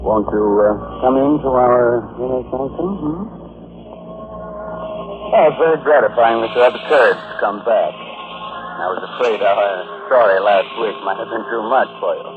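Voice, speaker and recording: Male actor, macabre radio host, insinuating and theatrical, medium pitch, thin vintage broadcast sound. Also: music in the background, natural voice. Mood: mock-courteous, teasing. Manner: slow, insinuating, dramatic pauses. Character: ghoulish, mock-courteous male host of horror tales. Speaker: horror show host and narrator. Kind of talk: 1940s radio horror narration. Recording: muffled, noisy 1940s radio recording.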